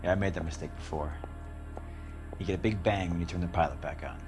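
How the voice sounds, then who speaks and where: A man's voice: low-pitched, fairly even intonation, fast talker, music in the background. A man playing an evasive, indignant working-class repairman under questioning in a video game drama, with slangy, blustering talk. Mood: defensive.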